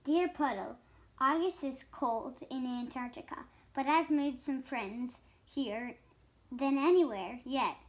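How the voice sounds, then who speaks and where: High-voiced child reading a storybook. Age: child, about 7 or 8. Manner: halting beginner reading, stumbles on harder words. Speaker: young reader. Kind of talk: reading a picture book aloud.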